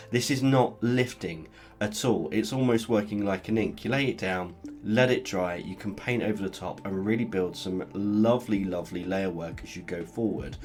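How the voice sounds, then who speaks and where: A man with a stuffy cold, chatting casually as he talks through a painting. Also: on the low side. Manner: relaxed, chatty, explains as he paints. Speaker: art channel host. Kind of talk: casual art tutorial narration.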